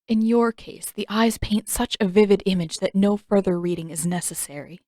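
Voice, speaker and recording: A woman's voice: mid-range voice, moderate pace, mellow, no echo, lightly acted. Woman voicing a lofty, theatrical old fortune teller. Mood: haughty disdain. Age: older. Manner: lofty, florid, solemn pronouncements.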